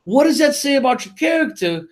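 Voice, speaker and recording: A man's voice: very high voice, sing-song, brisk. A man co-hosting a casual political talk show, arguing emphatically with many restarts. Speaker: co-host.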